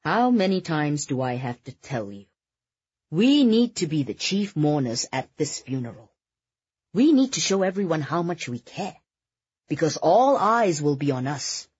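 A bossy, status-hungry dad played by a woman narrator scolds imperiously in a husky voice. It is an audiobook character, recorded very dry. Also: low voice, big pitch swings, a little slow, darkish tone, no room sound, lo-fi sound, heavily acted. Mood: exasperated, scheming. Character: bossy, status-obsessed, irritable father.

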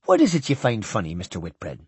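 A man puts on a courteous alien with a Scottish lilt. The pitch swoops widely and the tone is a little husky, formal and dutiful, as in a space novel read aloud. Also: huge pitch swings, fast, a little mellow, dry recording, heavily acted. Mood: polite, amused. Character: polite, dutiful alien mimicking a Scottish crewman.

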